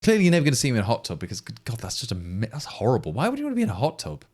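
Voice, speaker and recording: A man's voice: medium pitch, huge pitch swings, racing, a little mellow. An English man with an East Midlands accent, chatting casually to stream viewers in a rambling, exasperated way with many restarts. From England. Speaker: livestream programmer.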